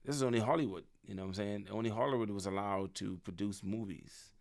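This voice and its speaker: Deep gravelly man. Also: fast. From Bahamas. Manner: relaxed, reflective, repeats words as he thinks. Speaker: podcast guest. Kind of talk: casual conversation. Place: office conference room. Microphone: studio mic on a stand.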